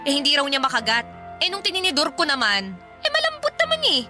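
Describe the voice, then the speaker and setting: A woman's voice: sits high, wildly swooping pitch, fast talker, music in the background. A woman playing an exasperated, nagging young wife and mother in a Tagalog radio drama, complaining emphatically. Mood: aggrieved, complaining.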